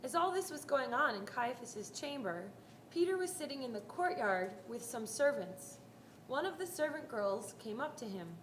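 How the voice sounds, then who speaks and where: Woman, scripted Bible narration, fairly high pitch, roomy echo, faint noise. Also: very animated, normal speed.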